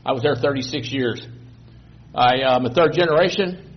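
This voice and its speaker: A man's voice: medium pitch, normal speed, husky. Older man presenting, lo-fi. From Texas. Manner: relaxed, rambling, self-correcting. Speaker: guest presenter. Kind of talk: informal presentation to a committee. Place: meeting room. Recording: dull lo-fi public meeting recording.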